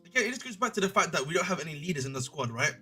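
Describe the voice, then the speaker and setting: A man's voice: fairly high pitch, sing-song, very fast, sharp tone, faint music behind. A man, co-hosting a casual football chat and rambling in slangy, repeated phrases. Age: 20s. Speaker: podcast co-host.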